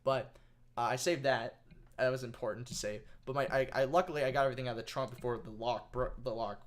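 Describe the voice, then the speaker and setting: A young man co-hosting a podcast, telling a story in casual, animated chat with swearing and restarts. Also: medium pitch, quick pace. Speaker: podcast co-host.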